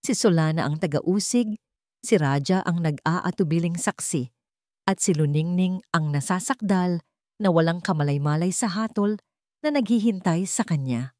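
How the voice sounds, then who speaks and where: A woman narrating a dramatic family story in a slow, measured way. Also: on the low side, a little mellow, dry recording. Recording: dry close mic with faint music.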